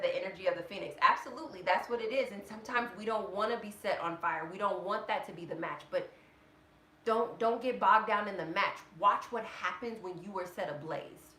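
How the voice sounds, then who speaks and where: A woman's voice: medium pitch, pushed and bright. A woman in her thirties giving a motivational vlog talk, emphatic, repeating words and leaning on "like" fillers. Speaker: vlogger. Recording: echoey room with faint noise.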